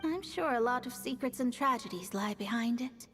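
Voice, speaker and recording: Testy young woman, high pitch, lively swings, haughty, brisk, anime game. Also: faint music behind. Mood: intrigued. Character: proud, thrifty, testy young woman astrologer. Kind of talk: anime-style video game dialogue.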